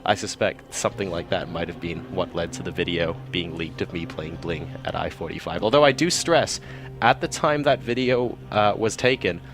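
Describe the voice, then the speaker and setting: Man, low voice, esports caster, quick enthusiastic talk, faint music behind. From Hong Kong. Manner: quick, chatty and enthusiastic, with frequent fillers. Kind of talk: casual interview answers. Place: busy esports event hall.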